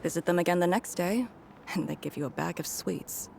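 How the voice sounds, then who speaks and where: A woman voicing a grave, resolute veteran leader in a video game, speaking in a measured, solemn way. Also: lowish voice, vocal fry, background noise. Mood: wistful. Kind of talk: scripted video game dialogue.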